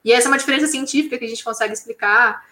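Bright, animated woman in an echoey room. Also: a little high, very fast. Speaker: science communicator on a channel. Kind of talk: casual interview talk.

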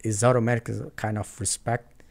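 Brazilian man speaking earnest halting English. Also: fairly low pitch, little pitch movement. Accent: Brazilian Portuguese. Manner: earnest, frequent restarts, non-native English. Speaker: guest. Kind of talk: casual interview conversation.

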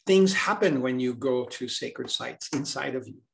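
A man's voice: mid-range voice, animated, normal speed, vocal fry. A man from Hawaii, a healer speaking as an interview guest, talking earnestly and reflectively, often repeating words as he searches for them.

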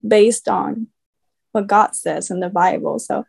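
A woman's voice: medium pitch, fairly even intonation, a little slow, vocal fry, darkish tone, breathy edge. A woman, speaking earnestly as a guest on a video call. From Puerto Rico. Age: 20s. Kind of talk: casual video-call chat.

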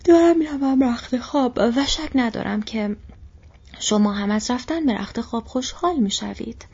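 A woman doing a flustered old innkeeper, breathy. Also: on the high side, darkish tone, pitched above the performer's own voice. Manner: flustered, rambling, exclaiming. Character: fussy bumbling old male innkeeper. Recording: dull lo-fi recording.

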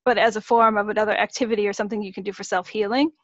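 A woman in her thirties from Massachusetts, a singer-songwriter explaining her work in a measured way during an interview. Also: medium pitch, fairly even intonation, dry recording, highs cut off. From Massachusetts, USA. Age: 30s. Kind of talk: interview answers.

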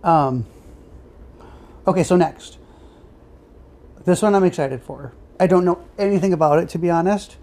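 A woman's voice: fairly low pitch, normal speed, long pauses, dark tone. A woman co-hosting a podcast in a casual, chatty style. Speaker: podcast co-host. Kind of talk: casual chat about superhero movies.

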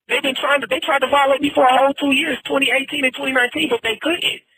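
A man's voice: very high-pitched, level tone, quick pace, pushed and bright. An American man making an emphatic, repetitive, impassioned plea over a prison phone line. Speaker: prisoner telling his story. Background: music.

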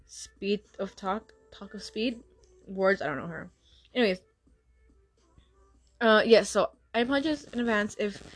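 Fast-talking young woman who stutters. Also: mid-range voice. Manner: stutters and restarts a lot, talks fast. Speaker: podcast host. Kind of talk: casual solo podcast chat.

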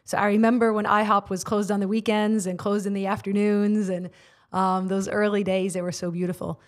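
A woman's voice: medium pitch, level tone, fairly quick. An American woman in her forties, a guest on a podcast, telling her faith story earnestly with many filler words. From United States. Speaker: guest, prayer ministry leader. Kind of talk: personal testimony in interview. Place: studio.

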